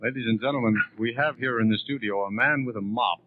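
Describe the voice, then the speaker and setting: A man's voice: mid-range voice, quick pace, background noise. An American man announcing and interviewing live on 1930s radio in a formal, wordy, genial manner. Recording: tinny narrowband 1930s radio broadcast.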